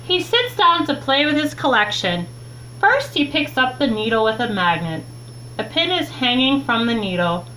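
A young adult woman reads a children's book aloud with expressive, deliberate phrasing, recorded in a small room at home on a webcam mic. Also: high-pitched. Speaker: teacher reading a children's book. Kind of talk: read-aloud storytime narration. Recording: clearly noisy, humming home recording.

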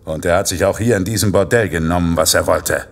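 An actor playing a vengeful vigilante killer in a Santa costume in a horror audio drama, speaking with deliberate, self-righteous menace. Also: male voice, low voice. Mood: grim, self-righteous.

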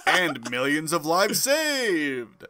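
A man's voice: a little high, big pitch swings, drawn-out words, sharp tone, exaggerated voice. A man playing a stern, grandiose superhero in a comic book dramatic reading, declaiming pompously. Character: grandiose, stern male superhero wizard passing judgement.

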